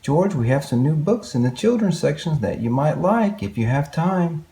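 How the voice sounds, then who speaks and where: A man's voice: on the high side, expressive, normal speed, gravelly, mellow, roomy sound, voice pushed up. A man voicing a friendly, welcoming woman librarian in a children's storybook. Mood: pleased, helpful.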